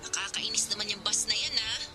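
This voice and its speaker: A woman's voice: medium pitch, fairly quick, natural voice. A woman playing an older sister anxiously questioning her younger sibling over the phone in a radio drama. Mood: worried. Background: background music.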